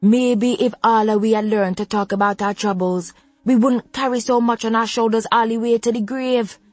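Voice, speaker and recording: A woman voicing an elderly grandfather, calm and grave in his speech. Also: a little high, moderate pace, dry recording, voice pushed up, natural voice. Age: older.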